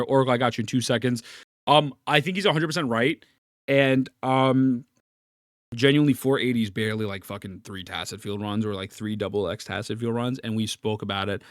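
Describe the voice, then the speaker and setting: A man's voice: medium pitch, quick pace, fairly bright tone, no echo, clean studio sound. A man streaming live, reacting to a video and chatting casually with his viewers, rambling and putting himself down while greeting chat. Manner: rambling, self-deprecating, greets chat often.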